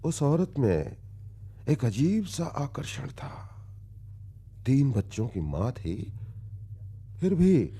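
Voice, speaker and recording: An actor playing a world-weary, philosophical wandering man who recounts his past in formal, deliberate radio-drama storytelling with long pauses. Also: medium pitch, sing-song, warm tone, slightly rough, heavily acted. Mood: reflective, resigned.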